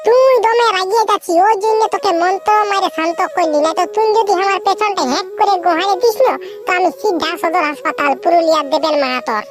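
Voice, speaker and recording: A man voicing a mischievous little village boy in a comic cartoon, with a Purulia Bengali accent and a pitched-up voice. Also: fast. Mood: playful. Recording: background music throughout.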